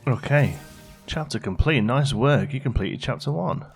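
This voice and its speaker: A man's voice: medium pitch, expressive, normal speed, creaky, faint music behind. A man giving casual let's play commentary, chatty and thinking aloud as he plays. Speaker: gaming channel host.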